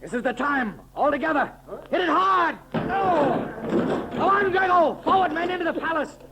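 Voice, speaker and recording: A man's voice: very high voice, expressive, moderate pace. An actor playing a bold, heroic male adventurer who commands his men in an urgent, declamatory 1930s radio serial style. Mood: rousing, shouting. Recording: vintage 1930s radio recording, loud crowd noise.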